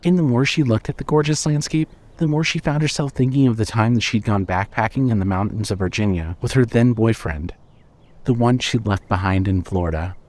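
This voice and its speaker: A man narrating scripted fiction as a storyteller, in a measured way. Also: on the low side, darkish tone.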